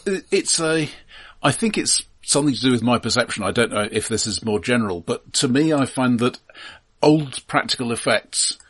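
A man's voice: fairly low pitch, a bit bright. A man co-hosting a podcast, discussing films casually in a dry, analytical manner. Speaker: podcast co-host.

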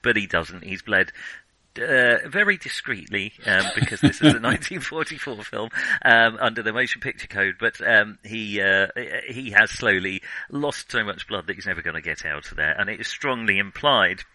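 Male film podcast host, very bright forceful voice, dry close sound, halting and digressive, nerdy enthusiast. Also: fairly low pitch, animated, dry recording, low-quality recording. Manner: hesitant, digressive, thinking aloud; self-confessed nerdy enthusiasm. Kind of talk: casual film discussion.

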